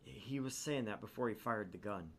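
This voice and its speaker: A man's voice: fairly low pitch, normal speed. A man hosting a gaming channel, narrating a visual novel aloud with theatrical, irreverent casual asides.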